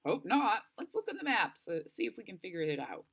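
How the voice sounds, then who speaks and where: A woman's voice: mid-range voice, big pitch swings, fairly quick, gravelly, fairly bright tone, pitched above the performer's own voice, full character voice. A woman voicing a practical, level-headed young camper who guides a stranded friend, in a storybook read-aloud. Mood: uncertain. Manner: matter-of-fact, reassuring. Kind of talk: storybook character voice.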